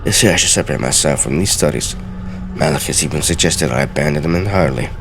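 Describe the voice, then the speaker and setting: A man giving let's play game commentary and dramatically reading in-game texts aloud in a theatrical, deliberate way. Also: very deep voice, animated, creaky, background noise.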